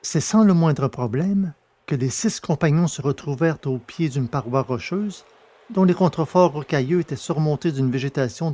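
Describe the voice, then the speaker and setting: A man's voice: a little high, a little mellow. A man presenting a podcast and narrating a science fiction serial in carefully phrased, literary read speech. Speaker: podcast host and audiobook narrator.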